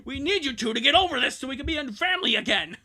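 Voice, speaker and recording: A streamer acts out a stern parent from a cartoon fan story. The delivery is firm and exasperated, with a raised, animated pitch and a pleading edge. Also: rapid-fire.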